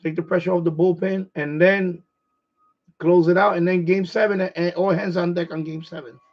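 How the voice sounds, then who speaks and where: A middle-aged man from New York with a New York accent, hoarse, chatting casually on his livestream and restarting his phrases as an eager sports fan. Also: high-pitched, flat delivery, normal speed. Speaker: livestream host. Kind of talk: casual rambling chat. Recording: dull lo-fi stream audio.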